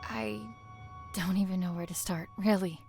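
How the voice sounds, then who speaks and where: A woman's voice: fairly low pitch, fairly even intonation, moderate pace, vocal fry, loud music behind the voice. A woman playing a weary, troubled test worker in a video game, speaking earnestly and hesitantly. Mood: hesitant, guarded.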